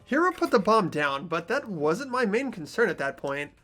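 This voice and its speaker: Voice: medium pitch, very animated, moderate pace, fairly bright tone, faint music behind. A performer voicing an earnest, hesitant teenage boy who narrates a video game story reflectively. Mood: brooding. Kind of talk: scripted game narration and dialogue, amateur voice acting.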